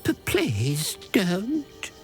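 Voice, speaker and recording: A woman voicing a shy, anxious talking cat in a video game, stammering and halting as she pleads. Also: mid-range voice, huge pitch swings, darkish tone, soft background music, heavily acted. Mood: timid, sad. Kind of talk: video game character acting.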